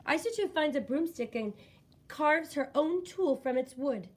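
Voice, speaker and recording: Higher-voiced woman reading a storybook. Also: expressive, measured pace. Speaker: children's book reader. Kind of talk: expressive scripted storybook narration.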